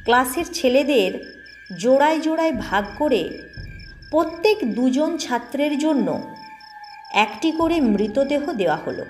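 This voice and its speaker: Casting: female audio story narrator, high voice, unhurried delivery, faint music underneath. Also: sing-song, darkish tone. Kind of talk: scripted first-person ghost story narration.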